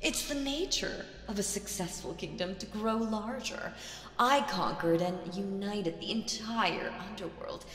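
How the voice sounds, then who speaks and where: A woman voicing a proud, mocking demon queen in an ASMR audio roleplay, theatrical and condescending with dramatic pauses. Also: mid-range voice, a bit bright, faint music behind, lightly acted. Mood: proud, challenging. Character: proud, mocking female demon queen, vengeful, regal villain. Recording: roomy with long reverb.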